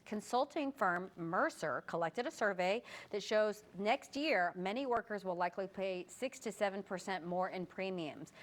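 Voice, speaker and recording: A woman with an American accent working as a morning news anchor, moving between news reading and casual banter. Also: fairly low pitch. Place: TV studio.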